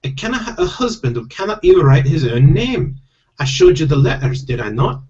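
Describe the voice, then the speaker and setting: A man's voice: fairly high pitch, sing-song, brisk, darkish tone, highs cut off, voice pushed up. A man voicing a bossy, impatient female pixie who rules her clan, with a Scottish accent and a snapping, commanding manner. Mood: scolding.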